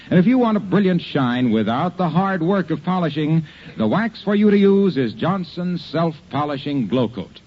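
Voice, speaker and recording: Animated American man announcer, muffled old radio. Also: fairly high pitch. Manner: polished, salesmanlike. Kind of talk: 1950 radio advertising announcing. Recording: muffled vintage radio recording.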